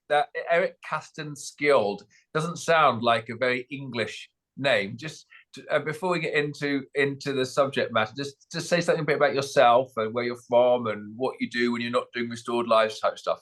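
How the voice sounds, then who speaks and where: A man's voice: medium pitch, normal speed, fairly bright tone. A man co-hosting an online forum, upbeat and chatty, often stumbling over his words. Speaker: co-host. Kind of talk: casual online talk.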